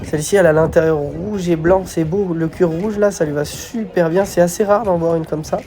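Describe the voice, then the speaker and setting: A man's voice: a little high, a little fast, dark tone, slight background noise. A man with a slight head cold gives casual vlog commentary as a host, hesitating and repeating words as he goes.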